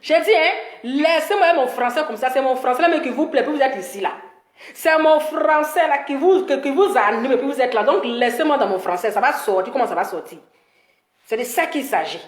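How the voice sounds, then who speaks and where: A woman's voice: high voice, brisk, roomy sound. A woman with an Ivorian accent hosting an opinionated live stream, scolding emphatically and repeating her points for emphasis. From Ivory Coast. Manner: animated scolding, emphatic repetition. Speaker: social media live-stream host.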